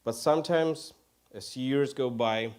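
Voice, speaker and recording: A man from Ukraine with a Ukrainian accent preaches informally in English as a second language, halting and self-deprecating. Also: mid-range voice, a little mellow, smooth. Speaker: guest preacher. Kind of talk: informal sermon.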